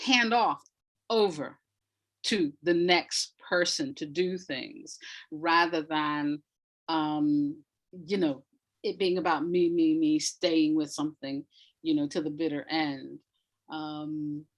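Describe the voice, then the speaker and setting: A woman speaking as a playwright guest in a thoughtful interview, reflective and repeating words for emphasis. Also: low-pitched.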